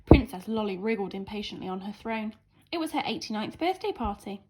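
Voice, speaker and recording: A woman's voice: mid-range voice, expressive, brisk, a little mellow, slightly rough, low-quality recording. A woman teacher reading a storybook aloud to young children with dramatic, emphatic expression.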